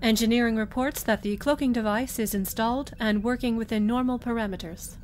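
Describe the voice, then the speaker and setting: A woman's voice: fairly quick, close to an everyday voice. A woman playing a composed Vulcan starship commander with formal, precise diction in a science fiction audio drama. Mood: cool, stern. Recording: clear background noise with low rumble.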